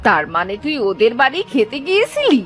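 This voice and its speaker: Highish, cruel, scolding aunt. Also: female voice, huge pitch swings, fairly quick, faint music behind. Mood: stern, cold. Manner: sharp-tongued, commanding. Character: cruel, scolding village aunt, doting on her daughter. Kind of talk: melodramatic cartoon voice acting.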